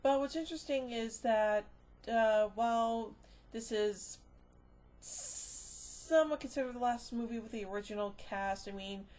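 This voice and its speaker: A woman giving a casual, unscripted review, rambling and halting as she goes, often trailing off into filler phrases. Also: on the high side, a little mellow. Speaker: movie reviewer.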